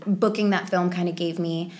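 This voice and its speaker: Woman, 20s, Polish first language. Slightly low register, casual anecdotal delivery of an actress in an interview. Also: fairly even intonation, moderate pace, a little mellow. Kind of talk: casual chat, personal storytelling.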